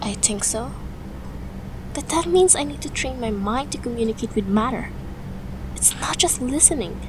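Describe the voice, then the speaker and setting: High-pitched woman acting as teenage girl, overwhelmed, protesting, emotional, audio drama, clearly noisy recording. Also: animated, normal speed. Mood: hushed wonder. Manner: emotional, pleading.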